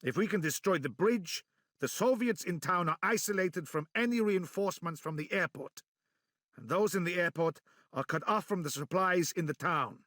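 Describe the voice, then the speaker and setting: A man's voice: high voice, normal speed, a bit bright, dry recording, clean studio sound. An actor playing a weary male resistance leader who talks tersely and with determination. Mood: determined. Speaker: game protagonist.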